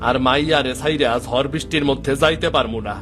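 A man playing an excitable village fisherman in a folk-tale cartoon, speaking colloquial rural Bangladeshi Bengali with exclamations. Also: mid-range voice, fast, close to an everyday voice. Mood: worried. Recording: music behind the voice.

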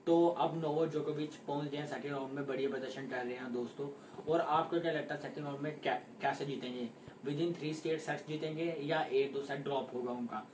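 A man's voice: fairly high pitch, a little fast, slight background noise. Young man, roomy distant home mic. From India. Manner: animated hand gestures, repeats score calls. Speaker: sports vlogger. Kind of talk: post-match tennis analysis. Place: small indoor room at home. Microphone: camera at mid distance.

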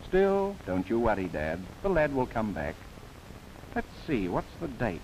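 A man's voice: lowish voice, huge pitch swings, unhurried, darkish tone, a little hiss or hum, rough recording. A man narrating a scripted 1930s government documentary in formal, theatrical phrasing with wry asides, on an old film soundtrack.

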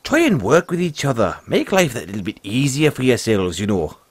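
A man's voice: mid-range voice, very animated, brisk, no room sound. Man playing a folksy, lilting dragon rider mentor. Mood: thoughtful, persuading. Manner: folksy, earnest, musing, says "aye" and "lad". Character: friendly, philosophical male dragon rider, gentle mentor. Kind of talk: audio roleplay addressed to the listener.

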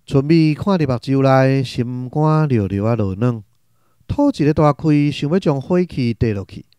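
A man with a Taiwanese accent narrating a radio drama in an expressive, literary storytelling style. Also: mid-range voice, moderate pace, smooth, no room sound.

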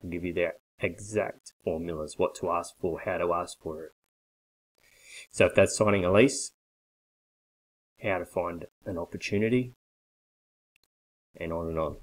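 A man in his forties giving a blunt, persuasive sales pitch straight to a webcam from a small bare office. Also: measured pace, pauses often, poor audio. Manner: blunt, persuasive, emphatic with hand gestures. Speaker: coffee business consultant. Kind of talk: direct-to-camera sales pitch. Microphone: webcam at desk.

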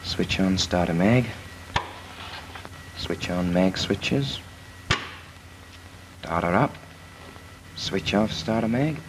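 A cocky young trainee pilot drawls through his drill in a very deep, unhurried voice. A clear hiss from the old 1940s soundtrack sits under every word. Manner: cocky, cheeky, rattles off drill slowly. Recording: noisy 1940s film soundtrack.

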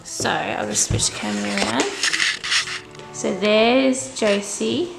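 A woman's voice: medium pitch, fairly even intonation, slow, echoey room, over loud music, poor audio. A young Australian woman in her twenties chatting casually to camera at home, hesitant and stop-start with a slight stutter. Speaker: vlogger. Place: inside a house. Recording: clear background noise on home camera audio.

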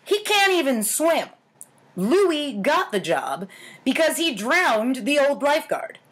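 A woman in her thirties with an American accent, reading stories aloud in a theatrical, wry, deliberate way with casual asides. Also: sits high, big pitch swings, fairly bright tone. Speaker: host of a storytime series. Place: home kitchen, indoors.

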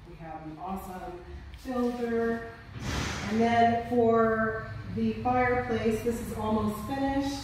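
A middle-aged woman giving a relaxed, chatty walkthrough of a house under renovation, filmed on a phone indoors. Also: medium pitch, distant mic, slight background noise. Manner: relaxed, chatty, pointing things out. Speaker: real estate investor giving a house tour. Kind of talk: casual walkthrough tour. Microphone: phone camera held by someone else. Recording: echoey empty rooms, voice off-mic.

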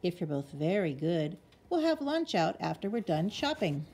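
A woman voicing a storybook mother koala, sensible and a little weary, with measured, emphatic parental delivery. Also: lowish voice, very animated, darkish tone, slightly rough, full character voice. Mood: patient.

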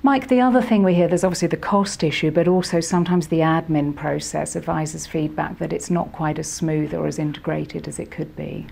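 Low, gravelly-voiced female interviewer. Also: fairly even intonation, a little mellow. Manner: brisk, summarizing questions. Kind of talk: business interview. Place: office, indoors.

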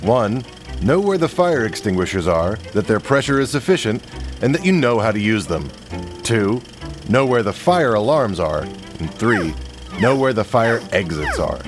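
A young man presenting a scripted workplace safety video in a wry, deadpan joking manner, recorded in a large industrial workshop. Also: lowish voice, normal speed, soft background music. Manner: wry, deadpan jokes between safety tips. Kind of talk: scripted comedic safety narration.

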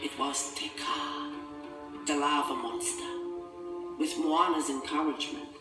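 A man's voice: on the high side, fairly even intonation, a little slow. A man reading a scripted children's storybook as its narrator. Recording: echoey, distant, music behind.

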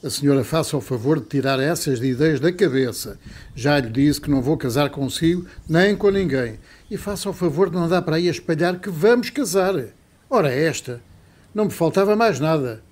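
Man acting an exasperated, high-voiced grocer. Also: lively intonation, brisk, a little mellow. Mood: exasperated, firm. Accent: European Portuguese. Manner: brusque, flustered, emphatic. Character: exasperated, blustering male neighbourhood grocer. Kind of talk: old-fashioned radio soap acting.

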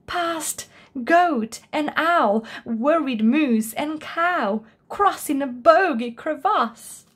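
A woman reads a story for small children. Her voice sits high and moves up and down with lots of expression. She takes her time, shaping each phrase deliberately. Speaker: storyteller reading a picture book aloud. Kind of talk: scripted children's story narration.

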